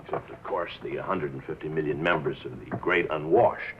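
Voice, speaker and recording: A man's voice: a bass voice, a little fast. An American man acting as a cynical, worldly press agent in a 1950s film drama, with a wry, sardonic manner. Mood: wry, guarded. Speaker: actor in a feature film. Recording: muffled vintage film soundtrack, faint noise.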